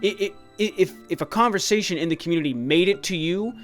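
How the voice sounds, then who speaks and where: A man's voice: sits high, quick pace, a bit bright, soft background music. A man in his late thirties hosting a video game channel, talking casually and eagerly, rambling with restarts and fillers. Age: 30s. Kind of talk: casual vlog talk.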